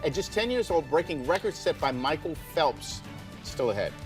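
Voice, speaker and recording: A man's voice: fairly high pitch, big pitch swings, moderate pace, over music. A man anchoring morning television news, reading stories from a script.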